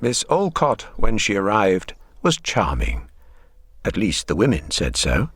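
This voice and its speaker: Male narrator for literary drama, lowish pitch, very close dry sound. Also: huge pitch swings, measured pace, a bit bright, husky, no room sound.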